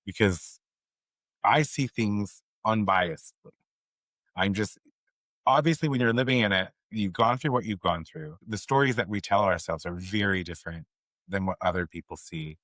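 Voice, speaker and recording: A man's voice: fairly low pitch, moderate pace, fairly bright tone, dry recording, poor audio. A man hosting a conversational interview, speaking in an earnest, encouraging way to his guest. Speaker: podcast host.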